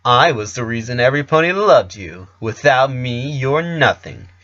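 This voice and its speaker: A man's voice: mid-range voice, sing-song, moderate pace, fairly bright tone, no echo, dull audio. A man voicing a domineering, taunting female pony villain, a diva alter ego who goads and harangues in an audio story. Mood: taunting, scornful.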